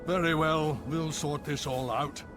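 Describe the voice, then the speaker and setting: An actor playing a grave, paternal elder leader in a video game, measured, consoling and authoritative. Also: male voice, on the high side, fairly even intonation, close to an everyday voice. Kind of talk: dramatic video game acting. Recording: music behind the voice.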